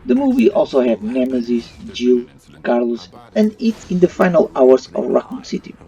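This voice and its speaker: A man's voice: mid-range voice, sing-song, moderate pace, vocal fry, warm tone. A man giving an opinion review in voice-over, with non-native English phrasing. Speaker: YouTube movie reviewer. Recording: muffled, slightly distant mic. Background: faint music.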